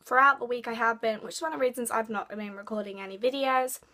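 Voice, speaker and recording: Hoarse young woman vlogging. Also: on the high side, fairly even intonation, quick pace, sharp tone. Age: young adult. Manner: chatty, apologetic, rambling. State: hoarse, losing her voice. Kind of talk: casual vlog chat to camera. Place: bedroom at home, indoors.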